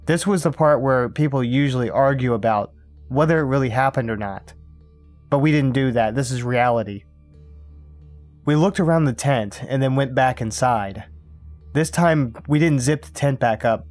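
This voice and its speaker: A man reading a first-person scary camping story aloud in a calm, even storytelling manner. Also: medium pitch. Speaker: narrator. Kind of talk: scripted horror story narration.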